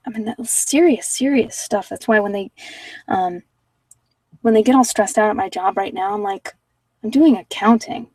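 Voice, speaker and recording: A woman's voice: mid-range voice, a little mellow, no echo, distant mic, poor audio. A woman talking in a casual, conversational way as she hosts an informal tarot card reading. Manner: conversational, informal, anecdotal asides.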